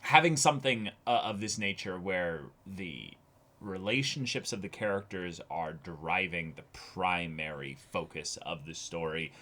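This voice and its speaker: A young man co-hosting a casual discussion show, deliberate and thinking aloud as he comments. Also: low-pitched, animated, pushed and bright. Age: young adult. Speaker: co-host of an anime reaction show. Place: small indoor room, seated before a backdrop.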